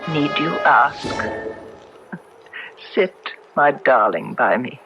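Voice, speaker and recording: An actress playing an ancient, timeless ghostly woman in a radio drama, creaky, yearning and seductive, with drawn-out intimate words. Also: a bass voice, expressive, sharp tone, soft background music, heavily acted. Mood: yearning, eerie. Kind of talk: 1970s radio drama acting. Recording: dull old radio broadcast recording.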